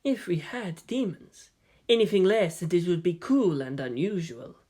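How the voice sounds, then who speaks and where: A man's voice: sits high, big pitch swings, normal speed, a little mellow, husky, exaggerated voice. A man voicing an uneasy, sceptical army sergeant in his forties, questioning and reflective, in a storybook reading. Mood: dry.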